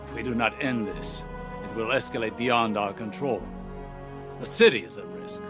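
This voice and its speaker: An actor playing a shrewd adviser and strategist in a sci-fi game, with a measured, persuasive manner. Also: male voice, fairly low pitch, music in the background, natural voice. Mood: urgent warning. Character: shrewd, calculating male elder strategist adviser. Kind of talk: dramatic sci-fi game voice acting.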